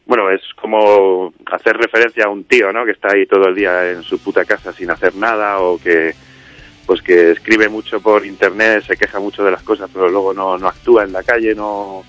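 A man's voice: on the low side, fairly even intonation, brisk, a bit bright, soft background music. A man, a musician and songwriter, talking in a casual radio interview, rambling with many fillers and restarts. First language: Spanish. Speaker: musician guest, singer and songwriter of a band.

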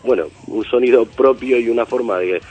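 A male singer answering interview questions in a relaxed, rambling way, thinking aloud with fillers. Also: mid-range voice, fairly quick, husky, phone-quality sound, faint music behind. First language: Spanish. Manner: thoughtful, rambling with fillers and restarts. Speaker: singer of a band, interview guest.

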